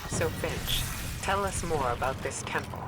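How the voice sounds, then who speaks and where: An actor playing a stern, skeptical male commander in a video game, speaking in a serious, deliberate and formal manner. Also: on the high side, animated, low-quality recording. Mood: serious, probing. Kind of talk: video game character dialogue. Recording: loud music behind the voice.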